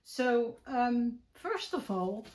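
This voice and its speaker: A woman's voice: on the high side, big pitch swings, drawn-out words, darkish tone, low-quality recording. A woman with a Dutch accent chatting casually about her crochet projects at home, with lots of ums and restarts. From Netherlands. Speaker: crochet vlogger. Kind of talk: casual chat while showing crafts.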